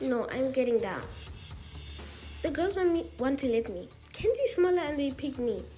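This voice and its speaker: Woman as a timid, high-voiced young girl dancer. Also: sing-song, moderate pace, warm tone, faint music behind. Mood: uneasy. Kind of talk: amateur roleplay voice acting.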